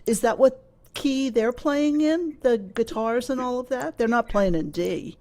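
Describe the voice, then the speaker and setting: A woman's voice: a little high, unhurried, darkish tone. A woman hosting a music show, chatting in an encouraging way with a few false starts. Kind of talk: casual chat.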